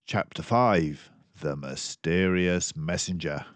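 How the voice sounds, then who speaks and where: An older man reading a children's book aloud in an expressive narrating style. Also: deep voice, takes it slowly, no room sound. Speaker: storybook reader.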